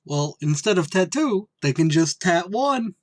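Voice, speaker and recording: Slow, dopey tavern dimwit. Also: male voice, high-pitched, very animated, dry recording, clean studio sound, exaggerated voice. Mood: eager, dim. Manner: halting, naive, dopey. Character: slow-witted, good-natured male tavern dimwit. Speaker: actor in a comedy radio play. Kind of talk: old-time radio comedy acting.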